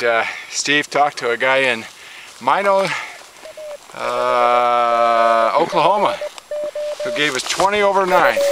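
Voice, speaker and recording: A middle-aged man on a desert trail, talking casually and admiringly about the scenery and radio contacts, with fillers. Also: medium pitch. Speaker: ham radio hiker. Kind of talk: casual outdoor narration. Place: outdoors on a desert canyon trail. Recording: noisy outdoor camera audio.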